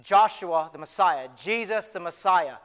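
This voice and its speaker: Guy doing a blind beggar telling his bible story, awestruck, bright forceful voice, echoes in a big hall. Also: high-pitched, expressive. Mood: earnest, explanatory. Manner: halting, repeats phrases, conversational storytelling. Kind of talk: first-person dramatic monologue, retelling a Bible story. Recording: live in a large reverberant hall.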